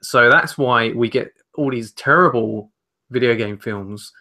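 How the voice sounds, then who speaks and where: A man's voice: medium pitch, a little slow, pushed and bright. A young man co-hosting a podcast, talking casually and carefully qualifying his points. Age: young adult. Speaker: podcast co-host. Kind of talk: casual discussion. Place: home room with shelves.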